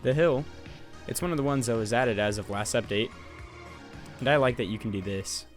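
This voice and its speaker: A man's voice: mid-range voice, moderate pace. A young man doing a casual voice-over game review, sarcastic and profane. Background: faint music.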